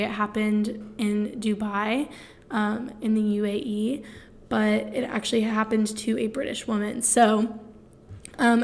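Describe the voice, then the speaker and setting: A young woman co-hosting a podcast, retelling a news story in a chatty way full of fillers. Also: on the high side. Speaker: podcast co-host. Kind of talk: casual news chat.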